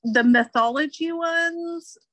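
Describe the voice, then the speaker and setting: Female podcaster, unhurried delivery, bright and fairly high voice, casual discussion. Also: dry recording, soft background music.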